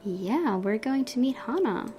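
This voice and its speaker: A woman's voice: fairly high pitch, sing-song, measured pace, mellow, a little hiss or hum. A young woman hosting a gaming video, reading story narration aloud and breaking into casual commentary.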